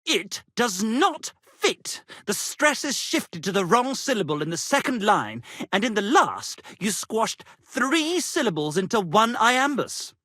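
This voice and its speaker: Theatrical high-voiced medieval poet. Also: male voice, big pitch swings, measured pace, few pauses, pushed and bright, no room sound, clean studio sound, exaggerated voice. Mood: pompous, disdainful. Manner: florid, theatrical, declamatory. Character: theatrical young male medieval poet-minstrel, vain, touchy, melodramatic. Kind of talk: video game character acting.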